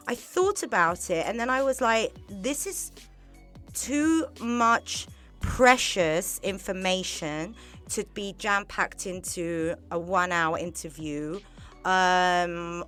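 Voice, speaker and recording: A woman's voice: lowish voice, a bit bright. A woman hosting a podcast interview in a studio, chatty and bubbly, laughing often. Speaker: podcast host. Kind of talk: casual interview. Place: borrowed studio. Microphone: studio microphone.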